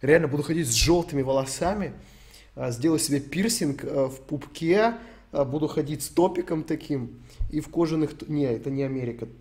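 A man's voice: on the high side, a little fast, a little mellow, breathy edge. A young man from Ukraine streaming, answering chat questions in a rambling, sweary, joking way. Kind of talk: casual stream Q&A.

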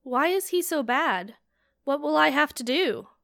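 A woman's voice: high voice, lively intonation, a little slow, gravelly, a bit bright, dry recording, studio quality, exaggerated voice. A woman voicing a lost, puzzled young man who cannot remember who he is. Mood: confused. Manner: hesitant, puzzled.

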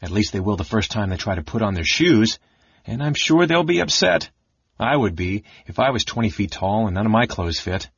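A man voicing a small, excitable kender from a fantasy storybook, chattering in a breathless, rambling way. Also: brisk, creaky, breathy edge, no room sound, dull audio, exaggerated voice. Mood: alarmed wonder. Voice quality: squeaky when transformed.

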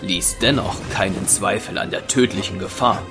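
A male narrator with a fairly low, gravelly voice tells an audio drama story. His delivery is scripted narration, set over a clear musical score. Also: normal speed, over loud music.

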